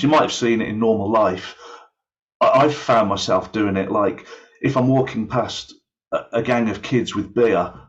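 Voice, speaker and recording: A man with a fairly deep voice talks through ideas in an online meeting, reaching for examples as he goes. His delivery is hesitant and explanatory. The audio is dull and slightly muffled. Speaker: aviation English course developer.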